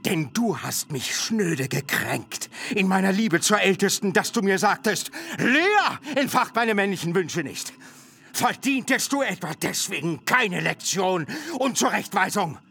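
A man's voice: high voice, sing-song, unhurried, vocal fry, sharp tone, slightly rough, full character voice. A man playing a cunning, pompous older uncle in a literary radio play, lecturing in a sly, self-righteous way. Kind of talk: stylized literary radio drama.

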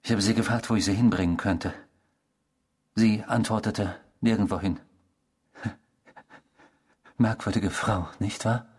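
An actor playing a smug, remorseless criminal confessing to a stranger in a German radio drama, speaking in a measured, provocative, self-satisfied way. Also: male voice, fairly low pitch, steady tone, a little mellow, husky. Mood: self-justifying.

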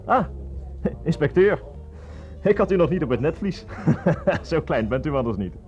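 A man's voice: on the high side, very animated, measured pace, darkish tone. A man playing a brash, slangy barman of a shady bar in a radio play, cocky and chuckling, oily in manner. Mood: jovial, ingratiating. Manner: cocky, chuckling, fawning to police. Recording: muffled radio play recording with music.

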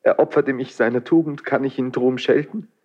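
An actor playing an anguished, impulsive young prince in a classical radio drama, fervent and declamatory, in broken phrases with a husky, breathy voice. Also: male voice, medium pitch, fairly even intonation, moderate pace, a little mellow, highs cut off, natural voice. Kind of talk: classical radio stage acting.

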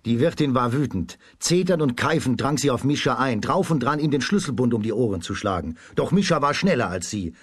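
A man narrating a children's radio play as a scripted storyteller, with a husky voice. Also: medium pitch, lively intonation, brisk, hardly any pauses, dry recording, studio quality.

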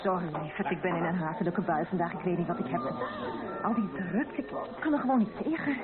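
A woman's voice: lowish voice, brisk, close to an everyday voice. An actress playing a pointed, talkative woman at a wedding, speaking conversationally in a radio drama. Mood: bitter, irritable. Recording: tinny narrowband, loud music and crowd behind.

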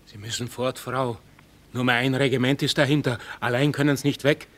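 A man's voice: medium pitch, steady tone, normal speed, fairly bright tone, lightly acted. Radio drama: actor as down-to-earth peasant man, middle register, practical and plain-spoken. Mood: sober insistence. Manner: matter-of-fact rustic speech.

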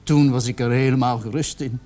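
A man's voice: medium pitch, steady tone, fairly quick, mellow, faint music behind, close to an everyday voice. Man acting a cornered young murder suspect confessing. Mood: defensive, explaining. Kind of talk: radio drama acting.

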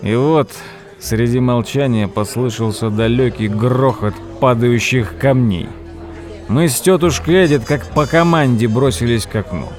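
An actor playing a thoughtful nephew who narrates an audio drama in an expressive, measured way. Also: male voice, mid-range voice, close to an everyday voice. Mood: intrigued narration. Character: thoughtful nephew recounting his uncle's strange tale. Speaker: narrator and character. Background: music.

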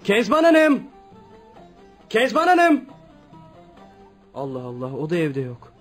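An actor in a radio play playing a dutiful, excitable young student son who speaks earnestly. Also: male voice, sits high, takes it slowly, soft background music, exaggerated voice. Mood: puzzled, calling out. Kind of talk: Turkish radio theatre acting.

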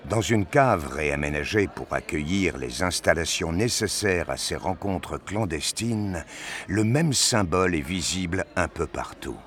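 A man with a very low voice narrates a science-fiction audio drama. His delivery is very slow and deliberate, with animated swings in pitch and faint music behind him.

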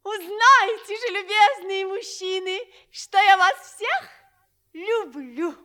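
Capricious storybook princess, bright ringing voice, slow, declamatory, proud yet loving, radio play. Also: female voice, extremely high pitch, exaggerated voice. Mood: tender, proclaiming. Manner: measured, declamatory, dramatic pauses. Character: proud, capricious fairy-tale princess softened by love. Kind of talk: theatrical monologue in a radio play.

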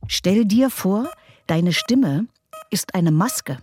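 Slow, dark-toned woman. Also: medium pitch, lively intonation, slight background noise. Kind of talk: poetic scripted narration.

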